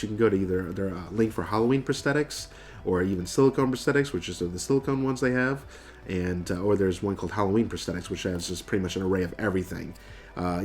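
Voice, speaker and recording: An American man in his thirties, nasal from getting over a cold, casually explaining things to camera as a video host. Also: fairly low pitch. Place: furnished room indoors.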